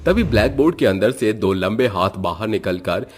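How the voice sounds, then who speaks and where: A man's voice: on the low side, lively intonation, normal speed, a little hiss or hum. A man narrating a scripted horror story in a dramatic storytelling style.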